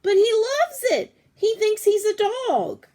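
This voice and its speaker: An eager storybook girl, voiced by a woman, insistent and full of ideas. Her pitch jumps up high as she pleads her case. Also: sing-song, a little slow, mellow, full character voice. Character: eager, imaginative young girl in children's story.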